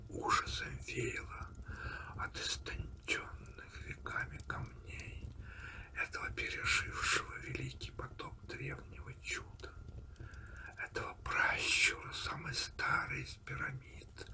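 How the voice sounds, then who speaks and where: A man narrating a story in a breathy, hushed ASMR whisper, deliberate and unhurried, with pauses between phrases. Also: mid-range voice, monotone. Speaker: audiobook reader and host of an ASMR channel.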